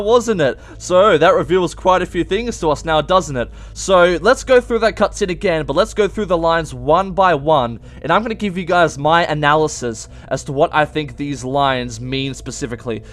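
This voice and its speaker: A man hosting informal explanatory video game commentary, emphatic and stretching key words as he goes. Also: fairly high pitch. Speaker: video game theory host.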